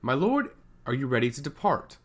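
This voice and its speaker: A man plays a dignified aide in a fantasy tale. He speaks with calm courtesy and keeps his composure while his lord rages. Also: medium pitch, normal speed. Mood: polite. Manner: calm, polite.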